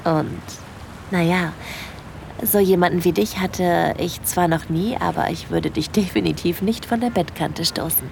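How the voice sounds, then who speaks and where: A woman playing a shy, smitten young holidaymaker in an intimate first-person audio drama, breathy, confiding and easily flustered. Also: lowish voice, unhurried, slight background noise. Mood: dreamy, infatuated.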